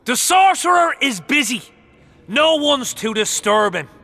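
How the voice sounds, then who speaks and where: An actor playing a brutish, aggressive army officer in a video game, barking coarse commands. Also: male voice, very high voice, big pitch swings, measured pace, creaky, sharp tone, dry recording, exaggerated voice. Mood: curt.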